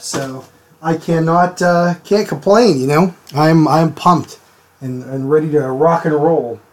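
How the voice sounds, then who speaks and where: Slightly hoarse man chatting on a live stream. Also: on the high side. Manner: chatty, greets viewers by name, many fillers. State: hoarse, recovering from a cold. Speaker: art stream host. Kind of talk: casual live-stream chat. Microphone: desk mic, sometimes turned away from it.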